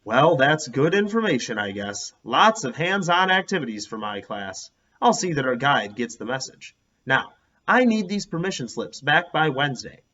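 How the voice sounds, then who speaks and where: A man playing a calm, practical male schoolteacher in a children's storybook read-aloud. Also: medium pitch, moderate pace, muffled recording. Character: calm male elementary school teacher organizing his class.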